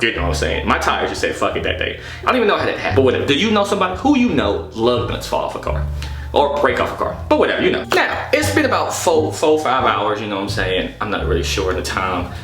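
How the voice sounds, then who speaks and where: A man telling a story casually and comedically, in an exasperated, animated, slangy way with plenty of swearing. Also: mid-range voice. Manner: animated, exasperated, profane, slangy with repeated "you know what I'm saying". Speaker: storytelling vlogger. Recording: echoey room with music behind.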